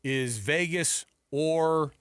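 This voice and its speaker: Animated male sports radio host, close dry sound. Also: fairly high pitch, extremely slow, clear, resonant tone, dry recording. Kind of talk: casual sports talk.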